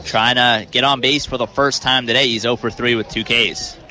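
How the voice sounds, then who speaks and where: A man's voice: medium pitch, steady tone, normal speed, a crowd in the background. An American man giving live analytical baseball commentary in an explanatory, matter-of-fact way.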